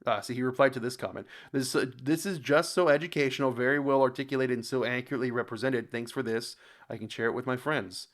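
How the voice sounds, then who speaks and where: A man with an American accent hosting a reaction video in casual commentary, a bit halting and stumbling over words as he reads aloud. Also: medium pitch, steady tone. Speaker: host of a reaction channel.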